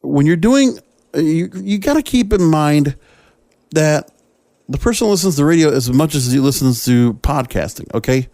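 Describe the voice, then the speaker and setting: A man's voice: on the high side, expressive, moderate pace, mellow, no echo. Dry-sounding male podcast host, emphatic and conversational. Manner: conversational, emphatic, rambling with rhetorical check-ins. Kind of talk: opinionated solo commentary, part reading from notes.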